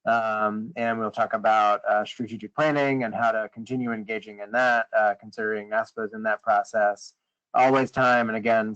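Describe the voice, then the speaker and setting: A man leading a conference call, briefing informally in a hesitant, halting way and often rephrasing mid-sentence. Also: fairly low pitch, a bit bright. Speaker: meeting leader on a conference call. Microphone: conference call line. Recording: dull, lo-fi conference call audio.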